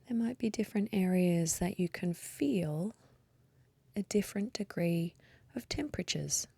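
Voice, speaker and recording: A woman leading a guided meditation in a soft, breathy voice, gently and unhurriedly, with long pauses. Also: lowish voice, lively intonation, no room sound. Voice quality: soft, breathy, partly whispery. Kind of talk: guided meditation, slow calm instruction.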